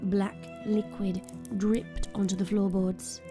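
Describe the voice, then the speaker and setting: A woman narrating a scripted audio drama in a measured, ominous storytelling manner. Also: medium pitch, level tone. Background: music.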